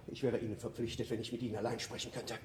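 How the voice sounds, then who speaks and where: An actor playing an indignant, stiffly polite university curator in a German radio play, formal and sarcastic. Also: male voice, mid-range voice, little pitch movement, racing, close to an everyday voice. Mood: stiff, aggrieved. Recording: roomy radio play recording.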